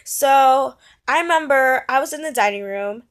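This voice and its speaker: A young girl, a child or young teen, chatting casually and telling a story in an animated, dramatic way into a phone held at arm's length. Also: sits high, normal speed. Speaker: vlogger. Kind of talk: casual storytime vlog chat.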